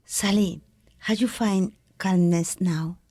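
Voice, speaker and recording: A woman hosting a podcast interview, asking questions gently in non-native English phrasing. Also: mid-range voice, slow, dry recording, studio quality.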